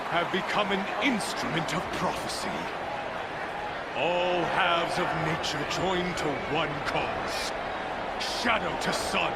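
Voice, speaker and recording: An actor playing a fanatical male cult leader, preaching in booming oratory to a crowd in a video game cutscene. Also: gravelly, very noisy, heavily acted. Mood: exultant. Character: fanatical male cult leader, middle-aged, commanding and cruel. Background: loud orchestral music.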